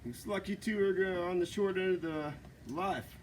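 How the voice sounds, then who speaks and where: A cocky western gunman drawls a taunt at men he has caught off guard, read by a man at a table reading. The tone is mocking and unhurried. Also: sits high, a little mellow, a little hiss or hum, pitched above the performer's own voice, natural voice. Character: drawling western gunman holding outlaws at gunpoint.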